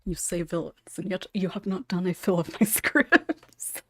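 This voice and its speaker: A woman's voice: fairly low pitch, measured pace, fairly bright tone, no room sound. A woman hosting a casual panel discussion, chatty and lightly teasing in manner. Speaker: podcast host and script writer.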